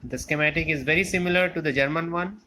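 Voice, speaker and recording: A man giving an unscripted technical walkthrough as a hobbyist, explaining step by step in a halting way and repeating phrases. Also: a little high, pushed and bright. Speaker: electronics hobbyist demonstrating a restored amplifier. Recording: clear background noise with clicks.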